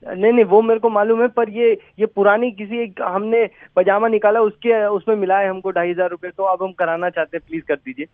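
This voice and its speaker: A man's voice: sits high, level tone, fairly quick, dry recording. A man pleading hesitantly and deferentially in a phone conversation on a narrow line. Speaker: prank call victim.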